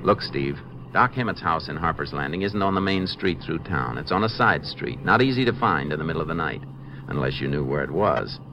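An American actor playing a shrewd, dry-humored Texas Ranger investigator in a measured, matter-of-fact way for a 1950s radio western. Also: male voice, extremely low pitch, lively intonation. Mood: resolute. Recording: muffled, noisy old 1950s radio recording.

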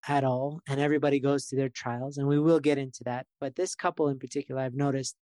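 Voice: medium pitch, no room sound. A podcast host introducing the show and its guests in an enthusiastic, admiring way. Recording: music playing under the voice. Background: music.

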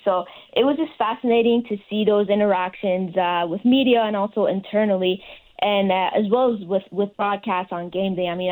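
A woman's voice: mid-range voice, a little mellow, low-quality recording. A woman speaking as an interview guest, giving earnest, enthusiastic answers in a conversational style. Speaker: interview guest, recent fellowship recipient.

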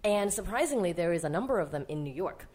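A woman's voice: fairly low pitch, fast. A young adult woman from Hong Kong, a guest artist chatting animatedly on a podcast. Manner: animated storyteller who laughs and acts out quoted people. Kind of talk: casual podcast interview.

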